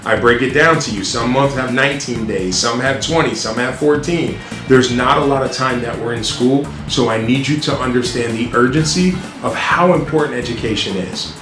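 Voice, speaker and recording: A middle-aged man, a school principal, answering interview questions in a loud, earnest way with frequent ums and restarts. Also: medium pitch, normal speed, hardly any pauses. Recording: roomy sound with music behind.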